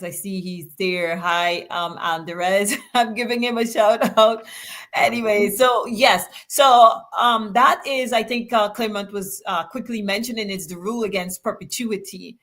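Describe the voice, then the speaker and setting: A woman's voice: medium pitch, fairly even intonation, normal speed, fairly bright tone. A woman from Guyana hosting a talk show on law, chatting casually with filler words. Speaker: host.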